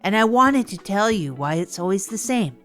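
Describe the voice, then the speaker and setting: A woman's voice: mid-range voice, expressive, moderate pace, faint music behind, lightly acted. Woman playing an awkward, rambling podcast host. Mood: chatty aside. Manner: awkward, digressive, earnest. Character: Tog, awkward down-on-their-luck small-town podcast host. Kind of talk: rambling comedic monologue storytelling.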